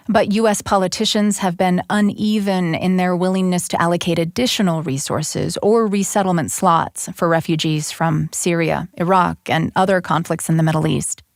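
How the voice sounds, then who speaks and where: Female radio reporter with a lower voice and a measured scripted read, recorded dry and close. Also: dry recording. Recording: dry, close studio sound.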